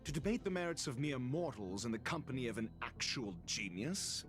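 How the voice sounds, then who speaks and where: A male actor as a haughty, theatrical game scholar who looks down on everyone. His mid-pitched voice rises and dips with florid, sardonic relish, and faint music plays behind him. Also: very animated, brisk, natural voice. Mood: dismissive, impatient. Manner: pompous, drawling, florid wording. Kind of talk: video game voice acting.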